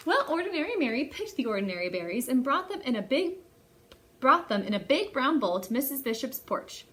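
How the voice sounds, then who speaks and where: A woman hosting a library storytime, speaking to young children in an animated way as she presents and reads a picture book aloud. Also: high voice, brisk, slight background noise.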